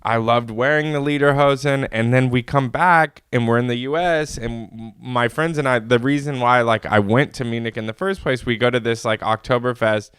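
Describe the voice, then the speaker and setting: A man's voice: medium pitch, fairly even intonation, normal speed, hardly any pauses, fairly bright tone, smooth, no room sound, clean studio sound. A man co-hosting a podcast, chatting casually and joking, laughing often. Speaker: podcast co-host. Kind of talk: casual chat.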